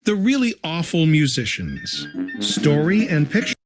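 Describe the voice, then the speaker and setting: A man narrating a children's storybook in an animated, scripted read-aloud style. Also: a little high, unhurried. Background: instrumental music.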